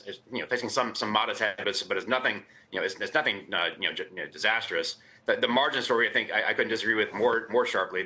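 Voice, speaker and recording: A man giving his market views as a guest on a live business news show, talking in a rushed, stumbling, emphatic way. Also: on the low side, bright, forceful tone, rough recording. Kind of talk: live TV interview.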